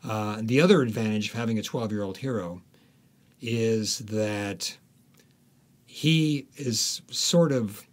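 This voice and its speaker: Man, author guest, lowish voice, reflective, deliberate, frequent pauses. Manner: halting, deliberate, pausing often. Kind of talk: reflective conversational explanation.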